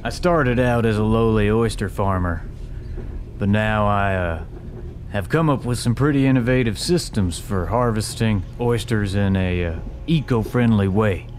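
A man's voice: on the low side, a little slow, slight background noise, lightly acted. Man doing a jovial oyster entrepreneur. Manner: rambling, laughing, folksy. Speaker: tabletop player.

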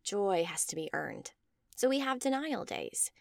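A woman's voice: mid-range voice, big pitch swings, a little slow, gravelly, no room sound, studio quality. A woman voicing a smug, preachy male commune leader in a story, speaking with insistent cheer. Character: Bill, smug tall male leader of a joy-obsessed commune. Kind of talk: scripted story dialogue.

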